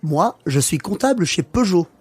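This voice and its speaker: A man playing a friendly, joking French accountant and father in a learner's radio play, speaking clearly and conversationally. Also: sits high, expressive, moderate pace.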